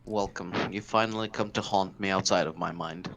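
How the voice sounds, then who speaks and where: A man speaking quietly in casual chat, dry and self-deprecating. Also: deep voice, fairly even intonation, normal speed, highs cut off. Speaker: podcast co-host.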